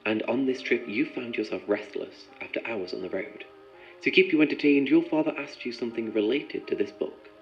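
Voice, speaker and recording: A man's voice: mid-range voice, brisk, lightly acted. An actor playing a composed, methodical male researcher in an audio drama, speaking in a clinical, probing, precise way. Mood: coaxing, guiding. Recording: muffled sound with music behind.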